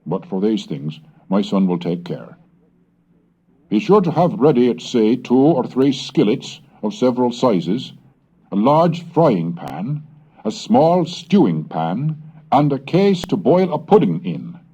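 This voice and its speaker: A man reading aloud as a Puritan colonist writing to his wife, measured and earnest, on a dull old cassette recording. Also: mid-range voice, sing-song, dark tone, slightly rough, heavily acted.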